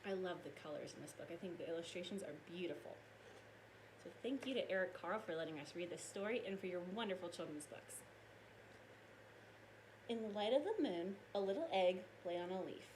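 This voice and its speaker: Lady teacher doing a read aloud for little kids, super animated, explains stuff, bit of noise behind her. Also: fairly low pitch, a little fast. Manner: expressive, explanatory, addressing young children. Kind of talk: children's read-aloud and science lesson.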